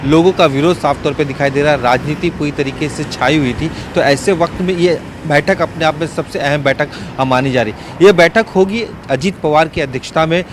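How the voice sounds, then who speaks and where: A man giving a live, unscripted news report from location, in a halting way with self-corrections. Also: on the high side. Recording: faint outdoor street and traffic noise.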